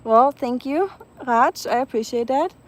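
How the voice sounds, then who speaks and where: A woman from Germany with a German accent, chatting casually and softly outdoors, with many ums. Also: high-pitched, measured pace. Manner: soft-spoken, chatty, many ums, laughs lightly. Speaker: vlogger. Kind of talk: casual live chat outdoors. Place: outdoors in the evening, nature sounds around.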